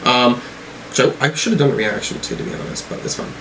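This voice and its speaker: A man's voice: mid-range voice, expressive, quick pace, fairly bright tone. Man musing over music, noisy recording. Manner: musing, thinking aloud while reading out lyrics. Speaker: host of music reaction videos. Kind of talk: casual music reaction and commentary.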